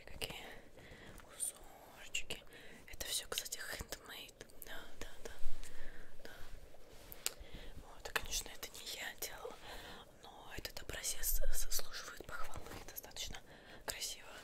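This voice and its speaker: A young woman whispers an ASMR roleplay as a shopkeeper, coaxing the listener softly and unhurriedly, often repeating words, close to the mic indoors. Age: young adult. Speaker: ASMR roleplayer playing a witch tailor.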